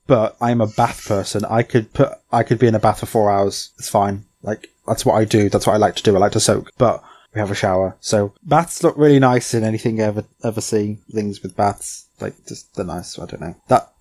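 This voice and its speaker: A man hosting a casual podcast, giggly and rambling, his voice going hoarse. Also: lowish voice, brisk, darkish tone. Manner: giggly, rambling, self-interrupting. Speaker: podcast host. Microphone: home microphone.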